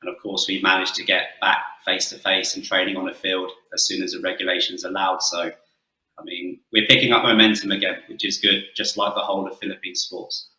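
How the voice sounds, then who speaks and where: Coach giving a talk, English accent, deep bright voice, earnest, roomy sound. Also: male voice, flat delivery, fairly quick. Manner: earnest, with frequent "uh" fillers. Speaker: football coach and guest speaker. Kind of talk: presentation talk.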